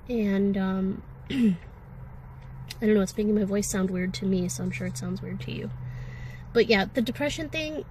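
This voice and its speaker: A woman's voice: mid-range voice, darkish tone, a little hiss or hum, low-quality recording. A woman with a sore throat and a congested voice, talking candidly and ramblingly in a personal vlog, with many fillers. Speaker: vlogger. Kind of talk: casual personal vlog talk.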